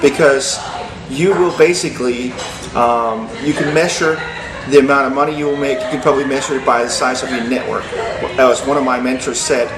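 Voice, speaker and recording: A man from Denmark, a guest in a business interview, talking in an earnest, steady, motivational way. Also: medium pitch, brisk, few pauses. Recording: echoey room with background noise.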